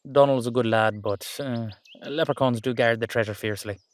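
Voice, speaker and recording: A man's voice: medium pitch, fairly even intonation, fairly quick, no room sound, lightly acted. Man acting a sweary, fond Irish dullahan. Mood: friendly, cautioning. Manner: colloquial, sweary, hesitant, conversational. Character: gruff, fond, sweary male Irish dullahan heading home. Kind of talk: one-sided roleplay audio drama.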